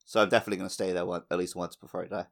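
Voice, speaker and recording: A man's voice: sits low, level tone, very fast, gravelly. A man in his thirties co-hosting a gaming podcast, chatting casually and jokingly, often acting out bits of dialogue. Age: 30s. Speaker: podcast co-host.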